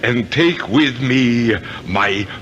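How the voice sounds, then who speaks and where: A man's voice: medium pitch, drawn-out words, lo-fi sound. An actor playing a vain, easily outraged, centuries-old English ghost nobleman, declaiming grandly in a 1970s radio drama. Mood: gleeful, plotting. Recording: old radio broadcast, faint noise.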